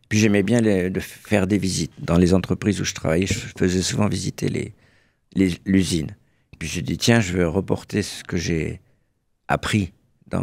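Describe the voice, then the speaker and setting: A man's voice: deep voice, gravelly, darkish tone, dry recording. A man in his seventies, originally from Burgundy, chatting genially as a guest in a radio studio interview. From Burgundy, France. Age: 70s. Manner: genial, anecdotal, self-correcting. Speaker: association president, guest.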